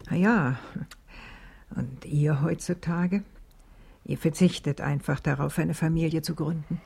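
An older actress playing a reflective, kindly old village schoolteacher in a German radio drama, with a gravelly, husky voice. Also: female voice, low voice, measured pace, warm tone, full character voice. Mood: kindly, wry.

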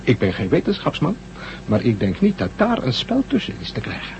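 An actor in a Dutch radio drama playing a stern, proud spaceship commander who talks with sarcastic authority. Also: male voice, mid-range voice, huge pitch swings, fairly quick, gravelly, darkish tone. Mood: sardonic. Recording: muffled, noisy old radio drama recording.

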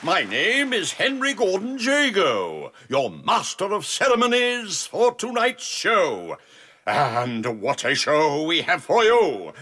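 An actor playing a flamboyant Victorian music hall master of ceremonies in an audio drama, announcing in florid, theatrical style over a crowd. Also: male voice, sits high, huge pitch swings, takes it slowly, pushed and bright, heavily acted. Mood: grandiose, showy.

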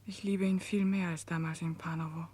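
A woman playing an anguished, self-denying prisoner in a 1960s German radio drama, breathy and often half-whispering. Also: fairly low pitch, level tone, brisk, a little mellow. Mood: sorrowful.

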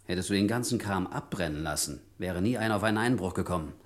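Brazen crook, swinging pitch, echoey. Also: male voice, animated, fast talker. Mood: mocking, menacing. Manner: mocking, falsely hearty, chuckling. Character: brazen male crook on the run, mock-jovial, menacing. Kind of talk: vintage German radio crime drama acting. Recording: echoey vintage radio play studio.

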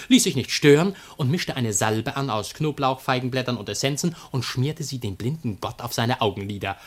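A man acts out a cheeky slave from ancient Greek comedy. His mid-pitched voice swings widely up and down. He tells his boastful tales with exuberant, theatrical relish, as in a radio play. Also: natural voice. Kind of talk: classical comedy radio drama, comic storytelling.

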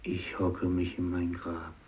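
Very slow, deep brooding fisherman. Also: completely flat delivery. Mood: solemn, brooding. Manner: solemn, deliberate. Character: brooding guilt-laden Japanese fisherman confessing. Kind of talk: 1950s German radio drama monologue. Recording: dull old radio recording.

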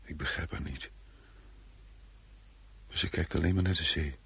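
An actor playing a brooding, reflective husband who recounts a dream in a radio drama monologue, his voice gravelly and husky. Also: rumbling low, normal speed, fairly bright tone, heavily acted. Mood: wistful.

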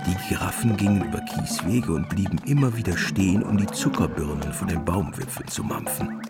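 A man's voice: low voice, fairly quick, vocal fry, loud music behind the voice. A man narrating a scripted literary audio drama.

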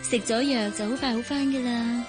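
A woman with a Hong Kong Cantonese accent acting in a radio drama as a gentle, caring secretary with a soft, coaxing way of talking. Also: fairly high pitch, steady tone, a little fast, music in the background, lightly acted. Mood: tender coaxing. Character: gentle, caring female secretary posing as a mother.

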